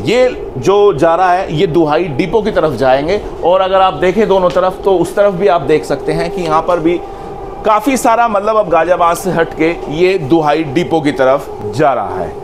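A man's voice: on the high side, expressive, normal speed. A middle-aged man, explaining things to viewers in a casual, conversational travel vlog. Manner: conversational, demonstrative, addresses viewers directly. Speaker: vlog host. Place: on a moving train and around stations. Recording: clearly noisy train and station ambience. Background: train and vehicle noise.

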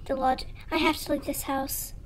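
A woman's voice: sits high, level tone, normal speed, slight background noise. A young woman giving casual, nervous commentary as she plays a horror game, with an informal, jumpy manner. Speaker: gamer, video creator.

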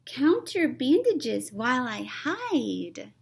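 A woman voicing a small boy mummy in a storybook, eager and stubbornly brave, calling out in drawn-out pleas. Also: sits high, very animated, creaky, husky, voice pushed up, heavily acted. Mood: pleading. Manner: drawn-out, loud calling and pleading.

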